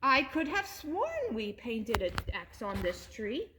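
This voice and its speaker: A woman's voice: fairly high pitch, huge pitch swings, slow pace, noisy background, exaggerated voice. A woman voicing a plain-spoken male woodsman in a storybook, chatting and wondering aloud. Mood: surprised.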